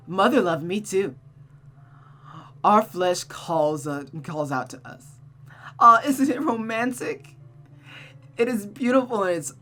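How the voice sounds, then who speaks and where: A woman's voice: on the low side, fairly bright tone, voice pushed up. A woman voicing a seductive, taunting, unhinged female creature of vengeance and desire from a visual novel, in a mocking, cooing, theatrical manner. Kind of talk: visual novel character read-aloud.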